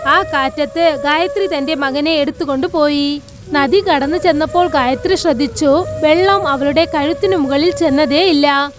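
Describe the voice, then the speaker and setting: A woman with a high-pitched voice narrates a scripted moral tale for children. Faint music runs behind her storytelling.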